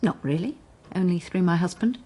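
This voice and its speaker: An actress playing an aloof, snobbish wealthy widow in a radio drama, cool, languid and evasive. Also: female voice, lowish voice, fairly quick, mellow, slightly rough.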